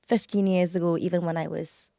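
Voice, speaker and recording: A woman's voice: on the low side, steady tone, a little fast, creaky, warm tone, no room sound, clean studio sound. A woman from Trinidad, in her thirties, speaking as a guest on a podcast in an earnest, reflective way, with many ums and likes. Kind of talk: casual interview chat.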